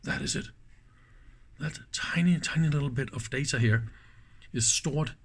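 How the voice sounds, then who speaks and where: Man giving a halting, hands-on demonstration. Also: mid-range voice, vocal fry, a bit bright, a little hiss or hum. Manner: halting, piecemeal phrasing with rhetorical check-in questions. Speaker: presenter of electronics teardown videos. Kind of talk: casual hands-on demonstration commentary.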